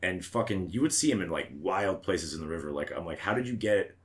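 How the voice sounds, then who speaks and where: A man with an American accent and a very low voice that moves around in lively swoops. He talks like a podcast co-host among friends, blunt and mocking, with plenty of swearing and a readiness to laugh. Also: a little fast. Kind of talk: casual banter, heavy swearing.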